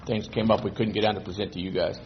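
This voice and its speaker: A man's voice: fairly low pitch, fast talker, slight background noise. Older man presenting, lo-fi. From Texas. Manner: relaxed, rambling, self-correcting. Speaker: guest presenter. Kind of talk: informal presentation to a committee. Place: meeting room. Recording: dull lo-fi public meeting recording.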